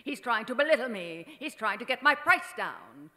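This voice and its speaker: A woman's voice: fairly high pitch, sing-song, normal speed, bright, forceful tone. A woman playing a grandiose, haughty faded film diva in a theatrical radio drama. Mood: imperious. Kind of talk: old-time radio drama acting. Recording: reverberant theatre stage recording.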